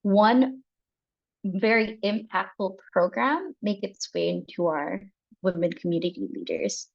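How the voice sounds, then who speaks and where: Woman hosting a video call workshop, mid-pitched, kinda muffled lo-fi sound, restarts sentences a lot. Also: unhurried, creaky. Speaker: workshop host. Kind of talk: presenting to an online workshop. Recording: muffled lo-fi audio.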